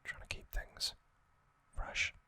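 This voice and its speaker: A man hosting an ASMR video, whispering a casual, opinionated fan recap with frequent pauses and ums. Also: sharp tone. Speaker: ASMR host.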